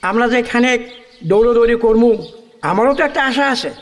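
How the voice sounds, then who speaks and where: Man doing a sly kamtapuri matchmaker, high voice, echoey hall. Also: brisk. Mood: persuasive. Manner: persuasive, reasoning, sly. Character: sly middle-aged village matchmaker, Kalachan. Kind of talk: rural village radio play acting.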